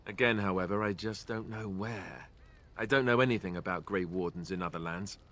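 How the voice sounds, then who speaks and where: A man voicing a young male warrior companion who talks in a plain, steady, slightly glum way. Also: sits low, brisk. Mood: earnest.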